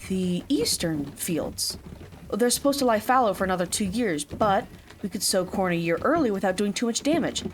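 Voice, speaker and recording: A woman's voice: medium pitch, lively intonation, normal speed, a little hiss or hum, natural voice. An actress playing an earnest, dutiful and impatient younger village woman in an audio drama, with slightly formal phrasing. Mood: earnest, resolute.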